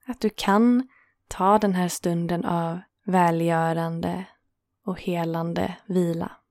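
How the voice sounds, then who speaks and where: A woman guiding a yoga nidra relaxation in a calm, soothing manner, leaving gentle pauses between phrases. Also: fairly low pitch, dry recording, studio quality. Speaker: meditation guide and host.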